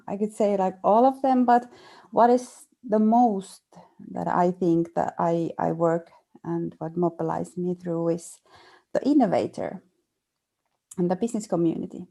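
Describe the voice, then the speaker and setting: A woman's voice: mid-range voice, measured pace, gravelly, warm tone. A woman from Finland introducing herself in an online meeting, hesitating and searching for words.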